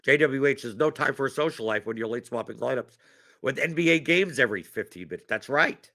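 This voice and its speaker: A man's voice: mid-range voice, animated, a little fast, sharp tone. A man hosting a fantasy sports strategy stream, chatting casually and answering chat questions while losing his voice to a cough, often repeating words as he restarts his thoughts.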